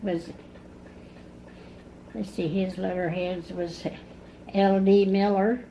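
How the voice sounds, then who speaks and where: A woman in her nineties from Indiana, recalling her family slowly in an oral history interview at home. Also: mid-range voice, little pitch movement, warm tone. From Indiana, USA. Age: 90s. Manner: chatty, recalls slowly with pauses. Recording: dull lo-fi 1980s cassette tape, faint hiss.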